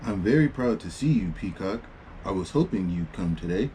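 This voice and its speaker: A man's voice: sits low, animated, normal speed, warm tone, slight background noise, low-quality recording. A man voicing a polite, bossy young girl who plays a welcoming, chatty librarian in a storybook. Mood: gracious, excited. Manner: gracious, chatty, mildly fussy. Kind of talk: storybook read-aloud dialogue.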